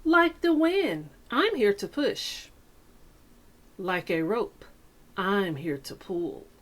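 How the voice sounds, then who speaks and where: Slow, expressive woman reading to children. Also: mid-range voice. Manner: deliberate, dramatic phrasing with pauses. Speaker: teacher reading a picture book aloud. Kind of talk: expressive children's read-aloud.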